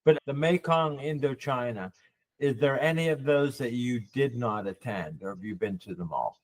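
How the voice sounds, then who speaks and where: Male interviewer, average pitch, informal conversational podcast delivery. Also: normal speed, rough recording.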